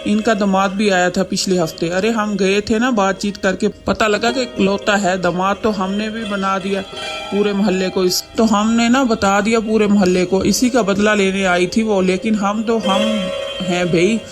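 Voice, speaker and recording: A woman's voice: mid-range voice, fairly even intonation, moderate pace, natural voice. A woman voicing a bossy, gossipy mother-in-law in a Hindi cartoon story, nagging in a brash, folksy way. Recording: music bed behind voice.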